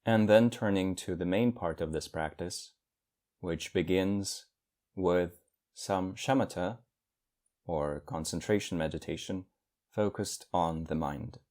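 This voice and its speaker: A man with a low-pitched voice leads a guided meditation. He speaks like a teacher, in measured phrases, and leaves generous pauses between one instruction and the next. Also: a little mellow.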